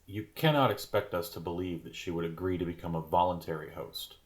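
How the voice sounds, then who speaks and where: A man's voice: sits low, fairly quick, close to an everyday voice. A man playing a stern, skeptical alien interrogator in a human host, formal and demanding, in an audio drama. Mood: stern, doubting.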